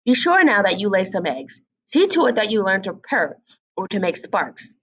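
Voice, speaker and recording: A woman's voice: medium pitch, big pitch swings, moderate pace, a bit bright, husky. A woman voicing a bossy, conceited hen in a storybook, scolding and lecturing with haughty emphasis. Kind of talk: storybook read-aloud character voice.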